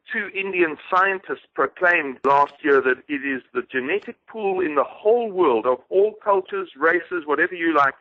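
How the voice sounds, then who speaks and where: A man's voice: fairly high pitch, no echo. A man in his forties with a South African accent, a guest talking formally and precisely in a phone interview. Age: 40s. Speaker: guest, activist. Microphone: telephone. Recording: dry, tinny phone line.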